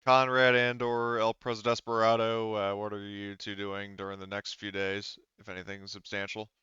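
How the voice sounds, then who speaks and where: Low, smooth-voiced man running a game. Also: monotone, pushed and bright, no echo, lo-fi sound. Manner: halting, casual, backtracking. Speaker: game master. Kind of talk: improvised tabletop game narration.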